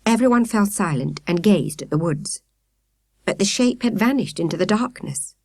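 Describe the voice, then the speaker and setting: A woman narrating a children's story in expressive, carefully phrased style. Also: medium pitch, moderate pace, dry recording, studio quality. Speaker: audiobook narrator.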